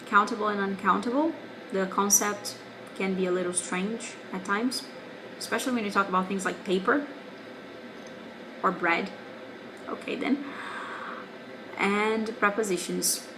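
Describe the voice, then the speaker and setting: A young Brazilian woman in her twenties, speaking English with a Brazilian Portuguese accent and a middling voice. She explains things patiently, with a candid, wry edge, like a teacher chatting to a viewer. A steady hiss of background noise sits under her voice. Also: mid-range voice, a little slow, long pauses. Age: 20s. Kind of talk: casual video reply to a viewer.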